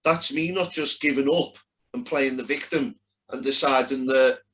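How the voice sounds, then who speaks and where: Forceful blunt Liverpool man. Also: medium pitch, fairly even intonation, moderate pace, a little hiss or hum, low-quality recording. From Liverpool, England. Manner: blunt, emphatic, argumentative. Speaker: debater. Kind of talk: heated casual debate.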